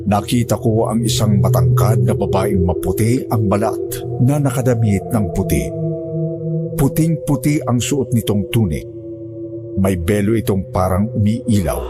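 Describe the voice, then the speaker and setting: A man narrating a scripted first-person horror story as its storyteller. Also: on the low side.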